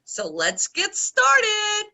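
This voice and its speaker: Woman as self-important community theater board member, lively swoops, forceful tone, web farce. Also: extremely high pitch, drawn-out words, gravelly, exaggerated voice. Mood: businesslike. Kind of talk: farcical web comedy acting.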